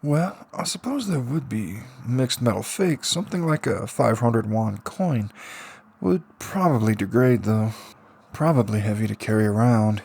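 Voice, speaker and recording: A man's voice: mid-range voice, lively intonation, measured pace, vocal fry, darkish tone, breathy edge, full character voice. A man voicing a wry seventeen-year-old shut-in boy, musing to himself in an audiobook reading.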